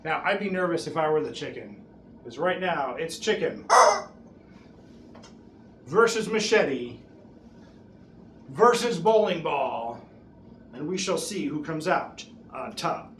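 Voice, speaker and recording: A man's voice: fairly high pitch, lively intonation, takes it slowly, pauses often, fairly bright tone. A middle-aged man hosting as a juggler, with theatrical showman patter addressed to an audience. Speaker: juggler and event host. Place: small bare indoor room. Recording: echoey room with background noise.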